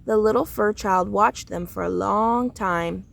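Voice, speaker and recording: A woman narrates a children's picture book at a slow, unhurried pace. Her delivery is expressive and deliberate, with a noticeable creak in the voice. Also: medium pitch. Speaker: storybook reader. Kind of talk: read-aloud children's story narration.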